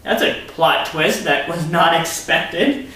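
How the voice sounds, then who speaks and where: Guy reading a story all dramatic n sarcastic, sharp bright voice, super echoey room, bit far from mic. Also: fairly high pitch, takes it slowly. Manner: sarcastic, theatrical. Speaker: storyteller and commentator.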